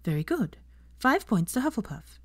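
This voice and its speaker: A young schoolmistress, as a woman narrator plays her, addresses her class in a raised, projected voice. She is brisk and earnest, encouraging pupils with questions in an audiobook story. The sound is dry. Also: a little high, big pitch swings, dry recording, pitched above the performer's own voice. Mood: brisk, instructive.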